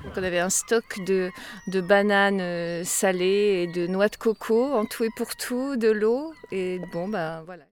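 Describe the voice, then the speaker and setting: A woman's voice: mid-range voice, measured pace. A woman, a volunteer housekeeper at a sailing base, chatting and reminiscing with hesitations in an interview. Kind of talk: casual interview. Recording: noisy outdoor recording.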